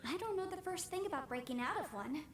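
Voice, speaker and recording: A woman's voice: extremely high pitch, expressive, fast talker, far from the mic, slight background noise. An actress voicing an earnest, upbeat young female legal adviser in a video game. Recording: echoey game audio from afar.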